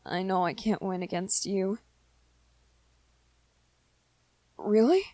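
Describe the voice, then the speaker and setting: A woman voicing a shy, smitten young male vampire in a romantic ASMR roleplay, gentle and hesitant, often stammering over repeated words. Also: medium pitch, moderate pace, no room sound, close to an everyday voice. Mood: modest, fond.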